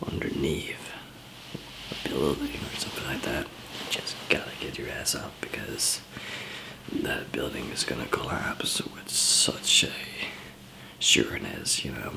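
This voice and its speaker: A man doing an improvised ASMR roleplay as a weary, easygoing survivor, in a breathy, hesitant, rambling murmur. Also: a bass voice, low-quality recording. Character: weary, easygoing male zombie apocalypse survivor.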